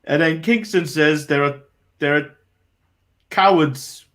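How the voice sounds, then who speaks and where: Male co-host, fairly high voice, easygoing recapper, hesitant and amused. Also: takes it slowly, a bit bright. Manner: recaps from notes, hesitant fillers, laughs. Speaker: podcast co-host. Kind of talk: casual wrestling review chat.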